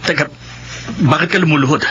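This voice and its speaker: A man's voice: on the high side, fairly quick, noisy background, lightly acted. A man acting as a tired, unfaithful middle-aged husband in a radio drama, curt and worn out. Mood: weary. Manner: tired, curt, later gentle. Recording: muffled radio broadcast audio.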